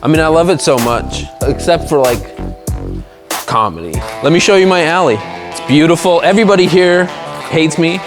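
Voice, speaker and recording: A man from Los Angeles talking about his comedy in an interview. He is deadpan, blunt and self-deprecating. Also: on the high side, moderate pace. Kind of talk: interview talk. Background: guitar music.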